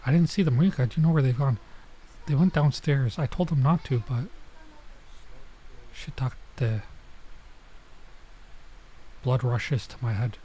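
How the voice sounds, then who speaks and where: A man's voice: mid-range voice, unhurried, pauses often, dark tone, a little hiss or hum, poor audio. A man doing a let's play, reading visual novel text aloud and adding casual, off-the-cuff comments, sometimes stumbling over lines.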